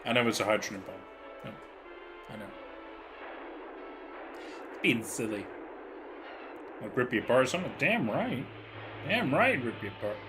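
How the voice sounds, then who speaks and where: A man's voice: medium pitch, animated, moderate pace, long pauses, over music. A man hosting a livestream, chatting casually and reacting with playful, joking asides. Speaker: livestream host.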